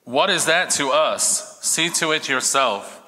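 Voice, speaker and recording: A man reading the part of an accusing high priest in a dramatized scripture reading. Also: lively intonation, slow pace, bright, forceful tone, exaggerated voice. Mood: dismissive. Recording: noisy, long church reverb.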